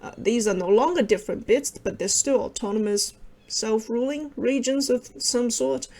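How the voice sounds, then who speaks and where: A woman's voice: on the high side, moderate pace, mellow. A young woman whose first language is Cantonese, casually explaining over maps in a rambling way, full of wry asides and self-interruptions. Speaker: video creator explaining with maps.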